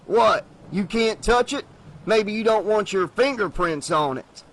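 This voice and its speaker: A man voicing a gruff, suspicious small-town sheriff who demands answers, as a storybook reading. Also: sits high, expressive, measured pace, a bit bright, pitched above the performer's own voice, full character voice, low-quality recording. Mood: demanding.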